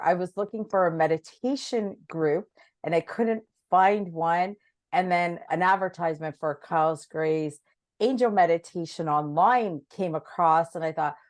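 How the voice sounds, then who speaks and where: A woman hosting a podcast in a chatty, effusive, enthusiastic manner. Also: lowish voice. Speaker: podcast host.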